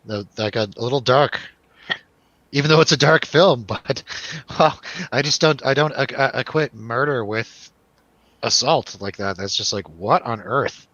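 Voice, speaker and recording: A man's voice: medium pitch, a little slow, fairly bright tone, no echo, dull audio. A man hosting a casual movie review chat, joking and irreverent in the way he talks. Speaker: host of a movie review show.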